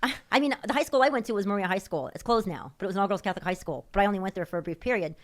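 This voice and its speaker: Brisk, chatty woman with a Chicago accent. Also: medium pitch. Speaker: guest. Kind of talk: casual conversation.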